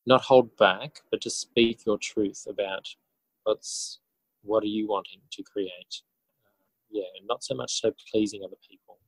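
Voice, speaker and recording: A man answering as an interview guest in a casual, halting way, thinking aloud as he goes. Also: lowish voice, steady tone, husky, dry recording, rough recording. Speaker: interview guest, author and educator.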